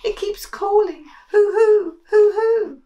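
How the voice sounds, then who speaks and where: A woman's voice: lively intonation, unhurried, vocal fry, highs cut off, exaggerated voice. A woman voicing a small, anxious young male mouse who cannot sleep, in a pleading, fretful storybook voice. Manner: pleading, fretful, high-pitched. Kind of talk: storybook read-aloud. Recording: voice from a distance.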